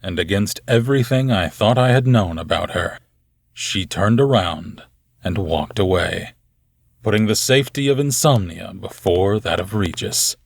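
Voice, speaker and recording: A man's voice: low-pitched, a little slow, creaky, no echo, exaggerated voice. A man playing a solemn, loyal royal marshal in an audio drama, grave and formal as he recounts events. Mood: somber narration. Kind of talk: first-person audio drama narration.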